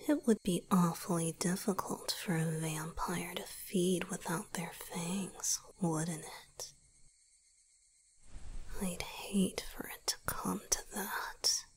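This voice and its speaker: A woman voicing a weary, tender, protective young male vampire boyfriend in a hushed, breathy ASMR roleplay, close to the microphone. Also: on the low side, fairly even intonation, rough recording. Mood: cold protective menace. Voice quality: breathy, whispery. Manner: slow, hushed, intimate delivery with long pauses. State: weakened, worn out. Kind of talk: whispered ASMR romantic roleplay.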